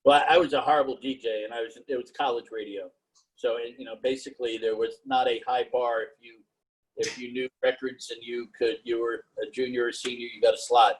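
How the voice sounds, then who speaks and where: A middle-aged man from New York talking about his career in a loose, halting way with lots of fillers. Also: medium pitch, breathy edge, low-quality recording. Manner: monotone, halting with many fillers. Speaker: panelist. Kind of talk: casual career talk on a video call.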